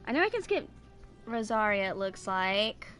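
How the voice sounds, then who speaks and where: A woman in her twenties giving casual, rambling game commentary, thinking aloud in a halting, distracted way. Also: mid-range voice, sing-song, fairly bright tone, faint music behind. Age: 20s. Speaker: gaming video creator.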